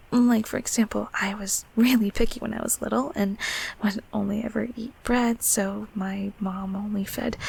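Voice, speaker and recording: A woman voicing a gentle, shy childhood closet creature in an intimate comfort roleplay, speaking softly and hesitantly in a breathy half-whisper. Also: mid-range voice, slight background noise, rough recording. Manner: hesitant, halting, tender.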